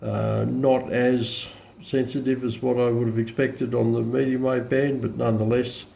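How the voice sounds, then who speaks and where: An Australian man casually walking through a product in a hesitant, low-key, unscripted way. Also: flat delivery, normal speed, vocal fry, mellow, slight background noise, rough recording. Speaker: radio hobbyist presenting a demonstration. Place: indoors at home, radio on a countertop.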